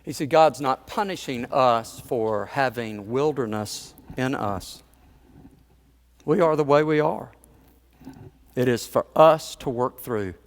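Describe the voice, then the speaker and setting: Male pastor, conversational storyteller in the pulpit, chuckling asides, mid-pitched voice. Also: slow pace. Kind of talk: sermon.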